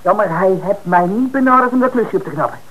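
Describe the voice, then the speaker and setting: High-pitched, defensive male suspect played by a man, noisy recording. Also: fast, muffled recording, close to an everyday voice. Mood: indignant, protesting. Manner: colloquial, hesitant, then indignant. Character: defensive male working-class suspect protesting his innocence. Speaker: actor playing a suspect. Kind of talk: Dutch radio drama dialogue. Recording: noisy old radio broadcast recording.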